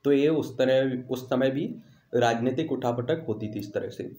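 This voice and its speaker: Echoey, mellow-voiced Indian male teacher. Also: mid-range voice, unhurried. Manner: instructional, repeats questions and answers. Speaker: teacher, online exam coach. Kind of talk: question-and-answer history lesson.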